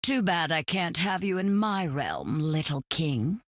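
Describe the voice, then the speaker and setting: A woman playing a regal, sly video game ruler of the afterlife who covets empires, with a drawn-out, menacing delivery. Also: low voice, big pitch swings, no room sound, clean studio sound, exaggerated voice. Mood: sly menace. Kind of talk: video game character voice acting.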